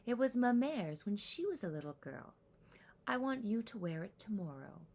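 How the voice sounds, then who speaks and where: A woman voicing an encouraging, gently teasing mother in a children's storybook, coaxing and emphatic. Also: mid-range voice, sing-song, normal speed, voice pushed up. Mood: tender.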